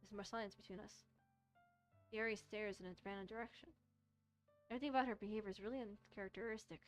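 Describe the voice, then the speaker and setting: A woman streamer reading a visual novel aloud and commenting on it, haltingly, often repeating and rereading phrases. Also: medium pitch, steady tone. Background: soft music.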